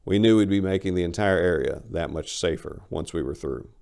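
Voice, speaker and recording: Male story host, low register with heavy fry, dramatic and emphatic reader. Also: a little fast, a little mellow. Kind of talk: dramatic story reading with casual commentary.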